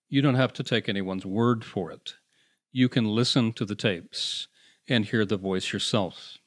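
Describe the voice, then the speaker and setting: A man delivering scripted paranormal mystery narration with a dramatic, deliberate build-up. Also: lowish voice, no echo, studio quality. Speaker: narrator, host.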